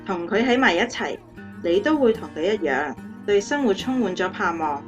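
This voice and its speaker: An actress playing an adoring young fiancée in a radio drama interview, earnest and affectionate. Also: female voice, mid-range voice, lively intonation, normal speed, highs cut off, lightly acted. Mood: admiring, happy. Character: adoring, happy young fiancée of a motivational speaker. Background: guitar music.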